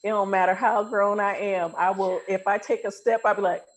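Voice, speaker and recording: A woman's voice: mid-range voice, steady tone, a little fast. Woman, American accent, podcast host, casual chat, playful, teasing, affirming. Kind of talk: casual, reactive conversation.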